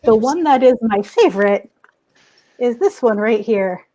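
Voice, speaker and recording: Casting: female panelist, slightly high voice, conversational, halting, emphatic. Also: expressive, dark tone. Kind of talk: casual fan discussion. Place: remote online panel recorded at home.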